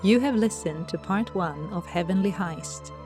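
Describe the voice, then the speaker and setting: A woman's voice: fairly low pitch, normal speed, a little mellow, soft background music. A woman giving scripted narration as the storyteller of an audio drama.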